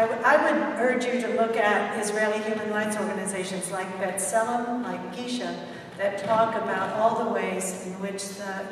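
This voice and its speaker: Older American woman, very echoey hall. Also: mid-range voice. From United States. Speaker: guest speaker. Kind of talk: answering audience questions at a public talk. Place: large echoing indoor hall. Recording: echoey hall, distant mic, noisy.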